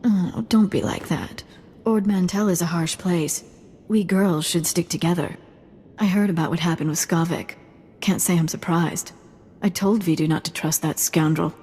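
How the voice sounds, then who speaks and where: A woman's voice: lowish voice, steady tone, normal speed, dark tone, husky. An actress playing a friendly, anxious, ingratiating woman who works for an underworld boss in a video game. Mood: friendly, worried. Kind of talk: video game character dialogue. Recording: reverberant hall sound under music.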